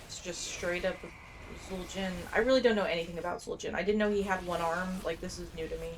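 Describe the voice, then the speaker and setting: A woman's voice: fairly low pitch, fast, rough recording. A woman giving casual, informal gameplay commentary, musing aloud and reading bits of quest text. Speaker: game streamer. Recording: faint background noise and game ambience.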